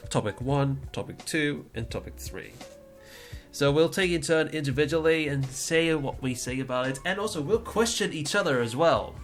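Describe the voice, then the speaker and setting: A man's voice: a little high, lively intonation, moderate pace, soft background music. Rambling man hosting a playful podcast. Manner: rambling, playful, teasing introductions. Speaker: podcast host. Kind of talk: casual livestream podcast chat.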